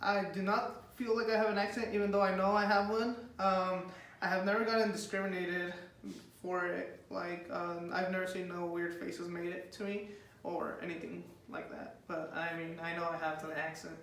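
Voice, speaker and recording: A young man whose first language is Spanish gives hesitant, filler-laden answers in a high, bright voice that stays fairly flat in pitch. He is recorded from a distance in an echoey room with faint background noise. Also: moderate pace. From United States. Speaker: interviewee. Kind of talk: casual interview answers.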